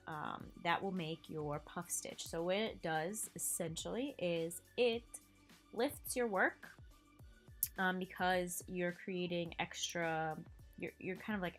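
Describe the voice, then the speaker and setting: Slow nasal woman teaching knitting. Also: lowish voice, soft background music. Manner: slow, relaxed, filler-heavy, counting stitches aloud. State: sick and nasal. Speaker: knitting instructor. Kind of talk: casual step-by-step tutorial voice-over.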